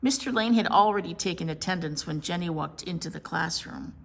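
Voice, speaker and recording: A woman's voice: on the low side, brisk, gravelly. A woman reading a picture book aloud expressively as a children's storytime presenter.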